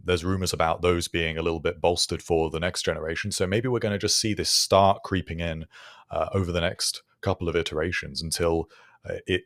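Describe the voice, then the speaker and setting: A man co-hosting a podcast, thinking aloud in a measured, casual, analytical way. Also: low-pitched, a bit bright, no room sound, studio quality. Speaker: podcast co-host.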